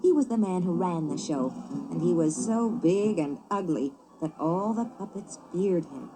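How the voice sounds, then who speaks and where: A woman narrating a children's story as a book on tape, expressive and clearly paced. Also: fairly low pitch, a little slow, slight background noise, poor audio.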